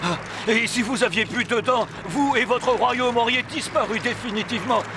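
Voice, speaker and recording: An actor playing a loyal, grave, self-sacrificing royal servant in a fairy-tale cartoon, with formal, dramatic delivery. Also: male voice, extremely high pitch, moderate pace, noisy background. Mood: solemn, pleading.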